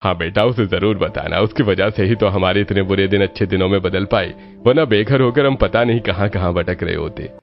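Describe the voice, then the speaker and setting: A man's voice: low-pitched, fairly quick, hardly any pauses, muffled recording, faint music behind. A man playing a grateful middle-aged village father in a cartoon story.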